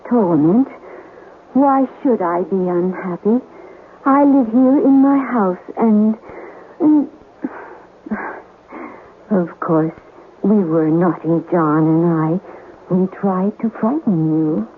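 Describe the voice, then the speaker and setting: Slow, seductive ghost lady. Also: mid-range voice, expressive. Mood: playful, wheedling. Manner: coy, languid, wheedling. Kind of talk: old-time radio drama acting. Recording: tinny old radio, faint noise.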